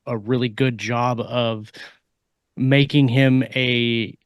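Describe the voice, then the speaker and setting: A man in a casual podcast discussion, halting and emphatic, repeating words as he explains his point. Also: mid-range voice, steady tone, smooth, no echo, clean studio sound. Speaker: podcast panelist.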